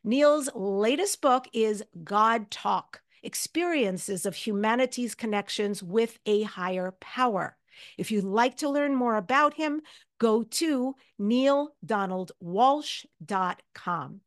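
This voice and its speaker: A woman's voice: fairly high pitch, animated, slow pace. A woman hosting a podcast interview in a conversational, effusive and emphatic way.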